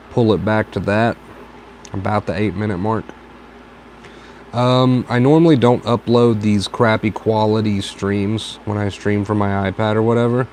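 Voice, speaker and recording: A tired man with a raspy, dry throat, giving calm advice on a casual live stream and repeating his points for emphasis. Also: fairly low pitch. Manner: reflective, repeats phrases for emphasis. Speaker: host answering viewer questions. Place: home stream from a tablet. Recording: faint background noise, lo-fi stream.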